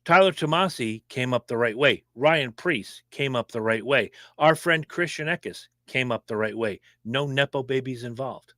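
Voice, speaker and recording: A man co-hosting a casual racing podcast, opinionated and animated, talking fast. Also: mid-range voice, no room sound, studio quality. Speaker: podcast co-host. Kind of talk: casual sports chat.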